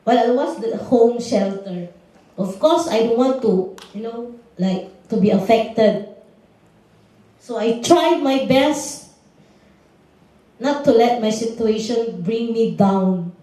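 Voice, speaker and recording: Woman, slow and deliberate testimony; distant, very echoey hall. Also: on the high side, warm tone. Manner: slow and deliberate, simple non-native phrasing. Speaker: panelist, former domestic worker. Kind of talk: prepared personal testimony. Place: large lecture hall. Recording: echoey lecture hall, far from mic.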